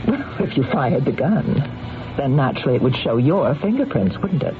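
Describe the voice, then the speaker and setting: A woman's voice: extremely low pitch, very animated, a little fast, music in the background. An actress playing a cold, calculating, domineering woman employer in an old radio drama, commanding and coolly persuasive. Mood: firm, insistent. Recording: tinny narrow-band old radio recording.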